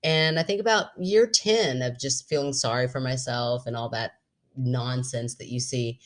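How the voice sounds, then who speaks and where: A woman in her forties co-hosting a podcast in casual chat, an animated storyteller who laughs often. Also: a bass voice, moderate pace. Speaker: podcast co-host.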